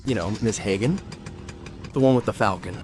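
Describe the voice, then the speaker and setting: A man's voice: on the low side, normal speed, natural voice. An actor playing a terse, reticent male character in a crime audio drama. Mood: hesitant.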